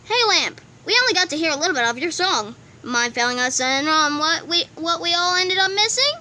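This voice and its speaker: A woman performs a comic dub in a theatrical style. Her voice is extremely high and bright, swinging widely in pitch. The home recording carries slight noise. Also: expressive, brisk. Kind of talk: animated fan comic dub reading.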